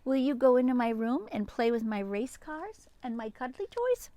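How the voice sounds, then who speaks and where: Woman reading as an eager, high-voiced little boy. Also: sing-song, moderate pace, mellow, dull audio, slight background noise. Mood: tender, eager. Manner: eager, wondering, tender. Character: loving, curious, earnest young boy. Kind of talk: picture-book read-aloud.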